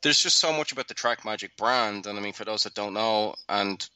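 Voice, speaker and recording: A man from Ireland with an Irish accent, a podcast guest chatting in a relaxed way with loose swearing. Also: lowish voice, a little fast, smooth, no echo. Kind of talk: casual podcast chat.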